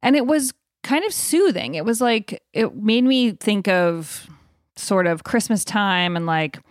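Female podcast co-host, medium pitch, chatty with frequent asides, recorded dry. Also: animated, a little slow, dry recording. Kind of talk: casual podcast chat.

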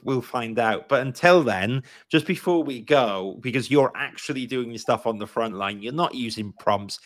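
A man's voice: medium pitch, normal speed, no room sound, studio quality. A man with a Welsh accent hosting a live-streamed interview, chatty and encouraging. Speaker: podcast host.

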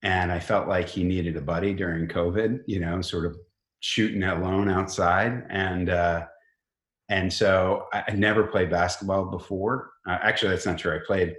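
A middle-aged man talking casually as an interview guest, informal and halting, often rephrasing himself as he goes. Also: sits low, fairly even intonation, a bit bright, roomy sound. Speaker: company chief executive, podcast guest.

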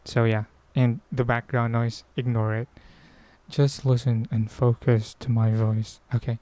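A man's voice: mid-range voice, flat delivery, a little slow, warm tone. A man with a Filipino accent hosting a casual solo podcast, answering questions in a hesitant, rambling way as he thinks aloud. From Philippines. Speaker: podcast host.

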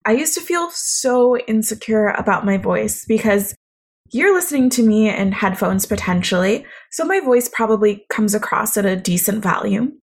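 A young woman with a naturally quiet voice, talking reflectively in a solo podcast monologue. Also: medium pitch, expressive, moderate pace, husky. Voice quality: quiet, soft-spoken voice. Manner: reflective, conversational, thoughtful. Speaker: podcast host.

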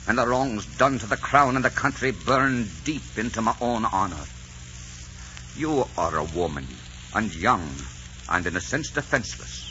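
Forceful, blunt earl, bright-edged. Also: male voice, medium pitch. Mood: earnest, courtly. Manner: ardent, declamatory, defiant. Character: bold, blunt, loyal Scottish earl and soldier. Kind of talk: 1940s radio acting. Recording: hissy, dull 1940s radio recording.

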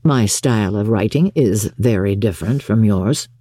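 A woman voicing a proud, reserved, coolly exacting gentleman in an audiobook, formal and measured. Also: a bass voice, no echo, clean studio sound, pitched below the performer's own voice. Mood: cool reserve. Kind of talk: audiobook character acting.

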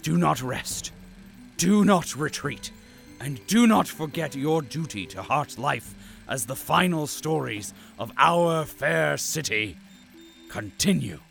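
An actor playing a pompous, self-pitying company official in an audio drama, speaking in florid, grandiloquent phrases with melodramatic flair. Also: male voice, fairly high pitch, lively intonation, slow pace, gravelly, a bit bright, soft background music, heavily acted. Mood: weary, mournful pomp.

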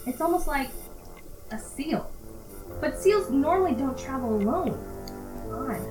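A woman's voice: high voice, animated, unhurried. A woman acting in an amateur audio drama as a headstrong, enthusiastic know-it-all diver who explains things earnestly. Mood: explaining, puzzled. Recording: roomy sound with music underneath.

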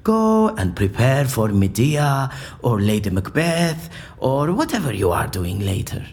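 An actor playing an eccentric, unflappable surgeon in an audio drama, with a formal, theatrical and dryly dismissive manner. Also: male voice, mid-range voice, sing-song, a little slow, darkish tone. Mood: stubborn, dismissive.

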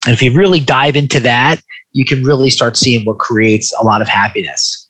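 A man's voice: medium pitch, moderate pace, a bit bright, slightly rough, poor audio. A man in his forties talking reflectively in a conversational interview, stressing points by repeating phrases.

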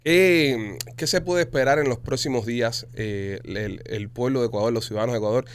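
A man with a Cuban Spanish accent hosting a podcast, chatting casually and reading sponsor ads in a quick, salesy way. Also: mid-range voice, smooth. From Cuba. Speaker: podcast host. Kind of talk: casual podcast talk with ad reads.

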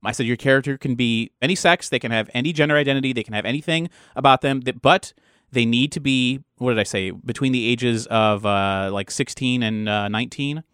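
A man talking conversationally as a game master, setting up scenarios with animated storytelling. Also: mid-range voice, fairly quick, clear, resonant tone, dry recording, clean studio sound. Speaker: game master, show co-creator. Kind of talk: conversational explanation of a role-playing game.

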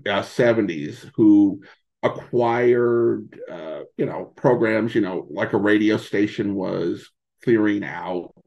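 An American man answering as a podcast guest, conversational and halting as he thinks aloud, on dull lo-fi audio. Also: fairly low pitch, vocal fry, darkish tone. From United States, rural Montana in early childhood. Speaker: podcast guest, old-time radio podcaster.

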